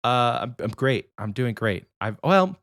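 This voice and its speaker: A man's voice: medium pitch, sing-song, a little slow, fairly bright tone, dry recording, studio quality. A man in his thirties co-hosting a podcast, chatting casually and rambling a little, sounding slightly nasal from a cold. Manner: rambling, easygoing, many restarts. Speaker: podcast co-host.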